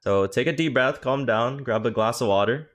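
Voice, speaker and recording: A man's voice: mid-range voice, fairly bright tone. A young man streaming, chatting casually and joking with his viewers. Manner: profane, joking, quick reactions. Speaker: streamer and coach. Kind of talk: casual livestream chat.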